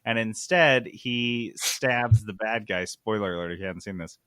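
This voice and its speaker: A man hosting a casual gaming podcast, chatting in an excitable, sweary way. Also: lowish voice, normal speed, no room sound. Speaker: podcast host. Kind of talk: casual, animated gaming chat.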